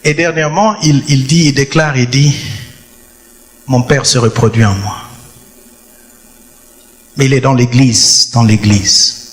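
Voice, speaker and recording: A man's voice: mid-range voice, steady tone, a little slow, long pauses. A man preaching a sermon in a church, stressing his points and repeating key phrases. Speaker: pastor. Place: church hall. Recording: long hall reverb.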